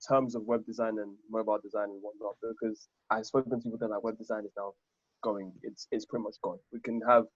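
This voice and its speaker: A man's voice: lowish voice, flat delivery, quick pace, slightly rough, no room sound. Young man co-hosting, dry muffled sound. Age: 20s. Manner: playful, rambling questions. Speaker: podcast co-host. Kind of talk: casual podcast chat.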